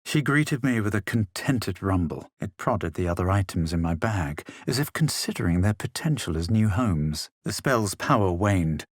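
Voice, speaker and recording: A man playing a self-assured, superior fantasy adventurer, delivering dramatic video game narration and dialogue in a measured, deliberate way. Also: lowish voice, expressive, no echo, clean studio sound. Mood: measured, grave. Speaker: player character voice actor in a fantasy video game.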